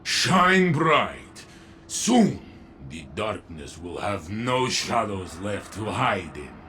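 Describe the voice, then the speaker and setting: Casting: grave, proud game warrior mentor, low-pitched, bright forceful tone, deliberate and declamatory. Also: male voice, very animated, slight background noise, heavily acted. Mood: solemn, rallying. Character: grave, proud male warrior mentor, rallying his fighters. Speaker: arena mentor character in a video game. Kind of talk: dramatic video game voice acting.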